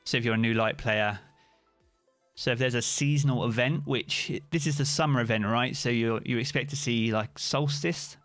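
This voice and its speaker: A British man chats loosely with his viewers during a live stream, answering their questions by name. His voice sits at an ordinary middle pitch and is recorded dry and close. Soft music plays faintly underneath. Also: moderate pace, no echo. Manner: chatty, relaxed, answers chat by name. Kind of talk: casual live-stream chat reading viewer comments.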